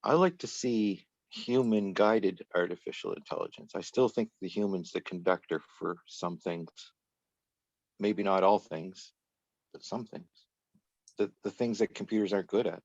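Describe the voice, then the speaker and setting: A man's voice: sits low, moderate pace, darkish tone, no echo, dull audio. A man in a casual group discussion, easygoing and joking. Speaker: meeting participant.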